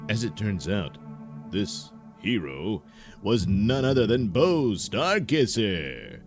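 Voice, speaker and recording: Male narrator, dramatic and knowingly overblown, animated intonation, unhurried pace, music bed behind, for a mock adventure serial. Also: on the low side. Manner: grandiose, theatrical announcer delivery. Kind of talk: dramatic scripted serial narration, tongue-in-cheek.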